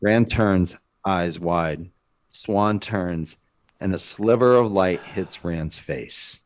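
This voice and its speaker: Slow, low-voiced male narrator. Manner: dramatic, deliberate. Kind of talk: scripted screenplay narration.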